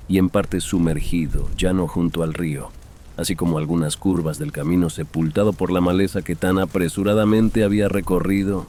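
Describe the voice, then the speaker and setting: A man's voice: low voice, mellow, slight background noise. A man reading a horror tale as a dramatic audiobook narrator. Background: background music.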